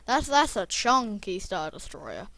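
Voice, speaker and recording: A young YouTuber with a croaky voice, rambling casually and chatting through a building video. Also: mid-range voice, sing-song, unhurried, bright, forceful tone, no echo. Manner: rambling, chatty, self-deprecating. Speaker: Minecraft YouTuber. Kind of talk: casual gameplay commentary.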